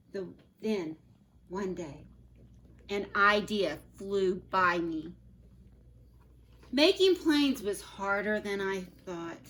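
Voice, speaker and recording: Bright-voiced teacher reading a storybook aloud. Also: female voice, mid-range voice. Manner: expressive reading with soft asides to children. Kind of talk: reading a picture book aloud to her class. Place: at home.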